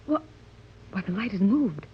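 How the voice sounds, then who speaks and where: An actress playing a nervous but game adventuress, hesitant and anxiously questioning, in a 1930s radio serial. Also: female voice, medium pitch, very animated, measured pace, a little hiss or hum. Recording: muffled 1930s radio recording.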